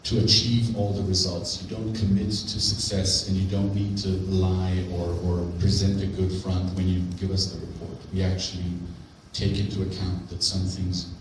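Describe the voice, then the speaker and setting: A man presenting on grants at a conference in a hotel conference room, speaking in a clear, explanatory and emphatic way. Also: low voice, level tone, normal speed, a little hiss or hum. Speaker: grant program officer. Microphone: handheld mic, far camera. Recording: very echoey room from far off.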